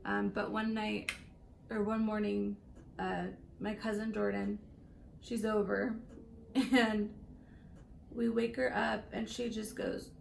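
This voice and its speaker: A woman in her late twenties with a raspy voice, chatting casually through a makeup tutorial and rambling between stories with laughs. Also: mid-range voice, slow pace, long pauses, a little hiss or hum, poor audio. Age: late 20s. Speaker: beauty vlogger.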